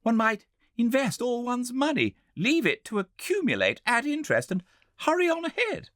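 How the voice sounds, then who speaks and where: A man voicing an eager, excitable, naive very young man in a storybook reading, full of breathless enthusiasm. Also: very high-pitched, moderate pace, no room sound, clean studio sound, voice pushed up, heavily acted. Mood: excited. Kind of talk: audiobook character reading.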